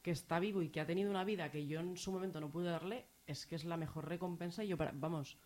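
A woman's voice: lowish voice, fast talker, a little mellow. A woman from La Mancha with a Manchegan Spanish accent, telling a personal story in casual radio chat, emotional and rambling. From La Mancha, Spain.

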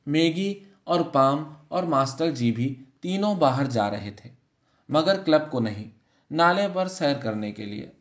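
A man reading a literary short story as an audiobook narrator, in a measured, expressive storytelling manner. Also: on the high side.

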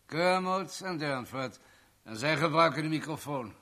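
Forceful, sneering male tycoon. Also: on the high side, expressive, measured pace. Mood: sneering, gloating. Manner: sneering, blustering, bossy. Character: domineering, mocking rich male tycoon with a short temper. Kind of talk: 1970s Dutch radio drama acting.

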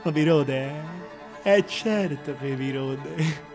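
A man's voice: slow pace, music in the background. An actor playing a vain, self-pitying tyrant king in a theatrical, declamatory radio drama monologue, volatile and contemptuous. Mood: bitter, accusing. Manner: declamatory, volatile, contemptuous, swinging from hushed to shrill.

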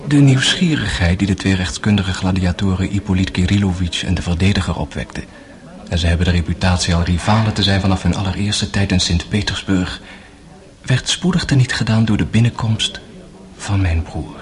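A man narrating a radio drama as a grave, sorrowful young brother, in measured, literary phrases that sink to a hush. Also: deep voice, lightly acted.

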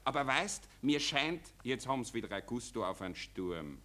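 An actor playing a cynical, nonchalant young army lieutenant with a Viennese accent in a 1940s radio play. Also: male voice, medium pitch, big pitch swings, moderate pace, bright, forceful tone. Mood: cynical nonchalance. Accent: Viennese Austrian. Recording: old 1940s radio recording.

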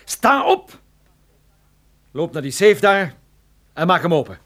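An actor playing a tough, sardonic private detective in a radio drama, menacing and dryly sarcastic. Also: male voice, high voice, big pitch swings, slow, fairly bright tone, heavily acted. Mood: commanding, threatening. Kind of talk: radio drama acting with first-person narration.

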